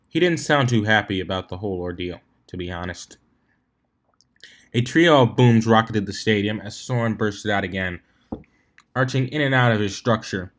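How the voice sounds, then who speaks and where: A man reading fan fiction aloud as an amateur narrator, expressive with the odd stumble. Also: fairly low pitch. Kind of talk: amateur scripted story narration.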